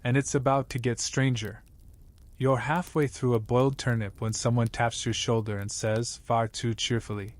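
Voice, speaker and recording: A man narrating a scripted bedtime story in a measured, wry way. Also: mid-range voice, steady tone.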